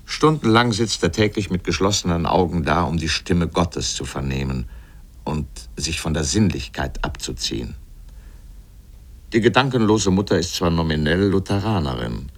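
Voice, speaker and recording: Deep-voiced ironic, erudite radio essayist. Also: male voice, expressive, moderate pace. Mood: ironic, emphatic. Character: erudite, polemical male literary essayist with biting irony. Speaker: speaker in a literary radio essay. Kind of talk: scripted literary radio essay narration.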